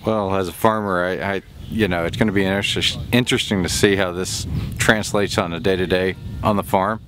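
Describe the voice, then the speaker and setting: A man's voice: low voice, steady tone, brisk, a bit bright. A man in his forties, a farmer, talking eagerly about farm technology outdoors in a farmyard. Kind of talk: casual interview answers. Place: outdoors in a farmyard under trees. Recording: outdoor ambience, clear background noise.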